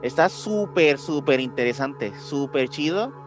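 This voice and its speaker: A man with a Mexican accent chatting casually as a podcast co-host, halting and searching for words in slangy speech. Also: fairly high pitch, expressive. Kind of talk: casual podcast chat about ancient mysteries. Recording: music behind the voice.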